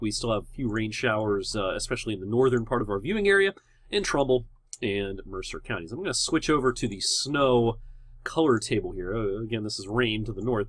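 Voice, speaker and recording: A man working as a TV meteorologist, hoarse from a cold and sore throat, walks through the weather in a loose, unscripted way with frequent pauses and restarts. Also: medium pitch, fairly bright tone, no room sound. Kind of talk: casual weather forecast video.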